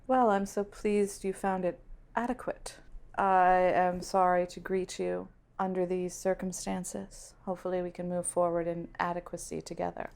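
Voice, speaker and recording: A woman's voice: lowish voice, level tone, normal speed, gravelly, a little mellow. A woman voicing a regal fantasy queen, formal and gracious with a wry edge, in a tabletop game. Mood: gracious, dryly sardonic.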